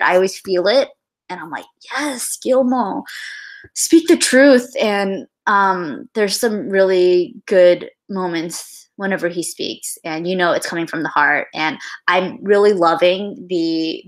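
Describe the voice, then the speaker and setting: A woman's voice: lowish voice, fairly bright tone. A young woman hosting a casual film livestream, chatty and gushing, with lots of fillers and sighs.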